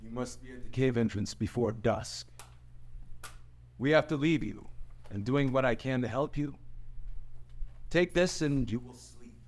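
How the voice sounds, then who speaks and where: A man acting a resolute fantasy captain. His voice is medium in pitch, firm and solemn, as in a screenplay table read. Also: unhurried, pauses often, lightly acted.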